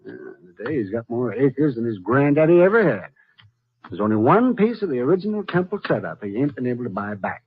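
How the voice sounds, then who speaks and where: A small-town western gossip with a raspy American voice. He chatters in a folksy, disdainful manner, as in a golden-age radio play. The recording is dull and aged. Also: mid-range voice, sing-song, a little fast. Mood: gossipy, scornful. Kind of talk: old-time radio western drama acting.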